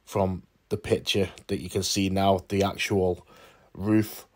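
A man's voice: low voice, level tone, measured pace, a little mellow. A man, explaining in an informal vlogger's voice-over. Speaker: urban exploration vlogger.

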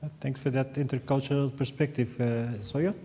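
A man's voice: mid-range voice, fairly even intonation, normal speed, dark tone. A man moderating a panel with brief, polite prompts. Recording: distant voice in a reverberant hall.

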